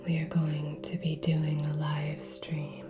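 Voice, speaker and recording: A woman speaking soft, breathy ASMR in a near-whisper, gently hosting with long pauses between short phrases. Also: sits low, monotone. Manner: slow, gentle, hushed delivery with long pauses. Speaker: ASMR channel host. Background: relaxing music with singing bowl tones.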